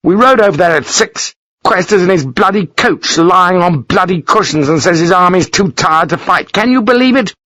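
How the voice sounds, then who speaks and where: A man's voice: high voice, fairly even intonation, fast talker, few pauses, fairly bright tone, dry recording, voice pushed up. A man voicing a furious aristocratic British officer who rants and curses in a raised, strained voice. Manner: ranting, explosive, cursing.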